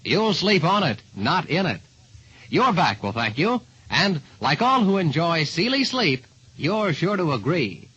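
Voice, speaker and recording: A man's voice: on the high side, sing-song, measured pace, sharp tone, slightly rough, highs cut off. A man announcing in 1940s radio style, on an old radio recording.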